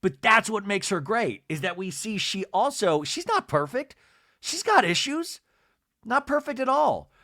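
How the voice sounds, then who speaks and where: Man, podcast host, animated, rambling, sarcastic, swears, bit high-pitched, dry close sound. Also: measured pace, dry recording. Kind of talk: solo reality TV recap podcast.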